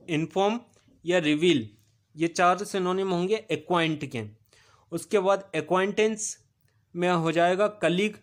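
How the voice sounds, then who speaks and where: A male teacher, giving a recorded vocabulary lesson. He repeats each meaning as a question, answers it himself, and pauses on "uh". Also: high-pitched. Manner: steady explaining pace with filler "अ" pauses.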